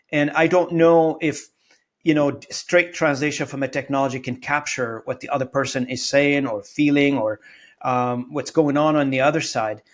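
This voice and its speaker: Interview host, male, Arabic native speaker; earnest, thinks aloud, restarts sentences. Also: medium pitch. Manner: earnest, searching, frequent restarts. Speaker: podcast host. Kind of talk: conversational interview.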